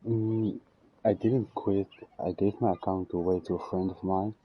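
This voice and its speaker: A young man chatting casually while playing a game, halting and often trailing off mid-thought. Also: low-pitched, steady tone, a little hiss or hum. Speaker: game streamer. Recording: dull lo-fi mic, game music behind.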